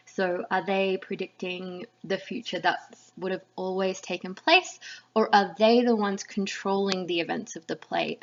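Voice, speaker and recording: A woman giving an explanatory lesson voice-over to students as a literature teacher. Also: mid-range voice. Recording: slightly dull, lo-fi recording.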